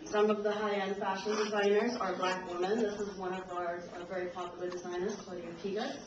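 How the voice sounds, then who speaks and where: A young woman reads her prepared speech to a university audience, slightly nervous and breaking into laughs now and then. She is caught from far off by a camcorder in a roomy lecture hall. The sound is dull and carries a steady hiss. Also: mid-range voice, fairly quick. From Trinidad and Tobago. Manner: a little nervous, laughs between lines. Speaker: invited speaker. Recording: roomy, distant, dull camcorder audio with noise.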